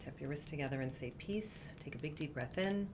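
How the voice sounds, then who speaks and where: A woman speaking slow, soft affirmations in a guided meditation. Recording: faint background rumble.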